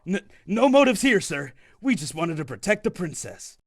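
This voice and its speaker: A man playing an excitable, stammering young protector with a gravelly voice in an audio drama. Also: sits high, moderate pace, no echo, full character voice. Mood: nervous.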